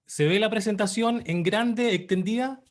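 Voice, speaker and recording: A Chilean man in his thirties speaks at a slightly raised pitch, presenting his book online. His delivery is formal and measured, with pauses and hesitations between phrases. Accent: Chilean Spanish. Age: 30s.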